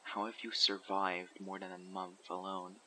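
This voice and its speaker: A man voicing a guarded survivor leader who recruits people for a community, asking measured, probing questions in an audio roleplay. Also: low-pitched, little pitch movement, bright, forceful tone, slight background noise, exaggerated voice, poor audio. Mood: calm, probing. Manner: measured, probing, matter-of-fact. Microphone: USB condenser microphone.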